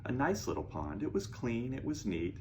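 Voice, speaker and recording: A man reads a rhyming children's tale aloud. His medium-pitched voice rises and falls in an animated, expressive way. A little noise is audible in the recording. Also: moderate pace. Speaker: children's storybook reader.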